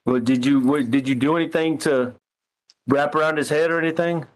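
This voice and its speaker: A man on a recorded phone call, asking probing questions in a halting way, often repeating his words. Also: fairly high pitch, steady tone. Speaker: caller questioning the other person.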